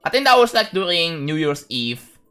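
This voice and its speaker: Guy streaming and building a level, bright voice, keeps saying like and restarting. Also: fairly high pitch, big pitch swings, moderate pace. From Indonesia. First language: Indonesian. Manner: rambling, full of "like" and restarts, talking to chat. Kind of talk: live-stream chat while building a game level.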